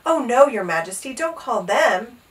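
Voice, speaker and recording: Lady doing a worried little page boy in a kids story, highish voice, polite n timid. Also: lively intonation, normal speed, recorded from a distance, lightly acted. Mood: anxious caution. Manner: timid, respectful, cautioning. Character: earnest, anxious young page boy pleading respectfully. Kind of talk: animated children's storybook read-aloud.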